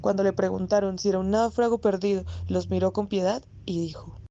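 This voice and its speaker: Woman as a mellow, talkative boarding-house landlady. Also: mid-range voice, fairly even intonation, a little fast, slight background noise, natural voice. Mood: polite, chatty. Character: talkative boarding-house landlady, politely chiding with family tales. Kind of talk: radio theatre storytelling. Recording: dull, dry, close recording.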